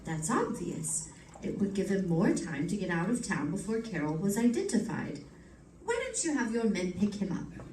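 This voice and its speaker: A woman playing a loyal, quick-witted, insistent sleuth's wife in old-time radio drama, earnest and theatrical. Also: medium pitch, sing-song, a little mellow, close to an everyday voice. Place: live stage performance, mic at a distance. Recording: distant mic in echoey, noisy room.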